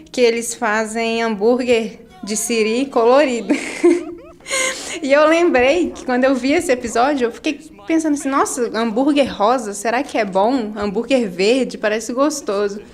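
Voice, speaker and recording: Thoughtful Brazilian woman psychologist. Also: a little high. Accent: Brazilian Portuguese. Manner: thoughtful, personal, unhurried. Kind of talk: reflective interview answer.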